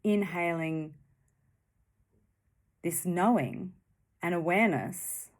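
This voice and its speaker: A woman guides a meditation in a fairly low voice. Her delivery is very slow and gentle, with short phrases set apart by long, soothing pauses.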